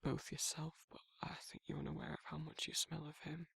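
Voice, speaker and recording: A man's voice: a little high, completely flat delivery, brisk, a bit bright, no echo, heavily acted. A man playing a protective, awkward werewolf in an intimate ASMR roleplay, speaking breathily and hesitantly, often near a whisper. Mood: gently reassuring. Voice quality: husky, breathy, gravelly, often dropping to a whisper. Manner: hesitant, rambling, bashful, informal. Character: protective, awkward, soft-hearted male werewolf confiding in a friend.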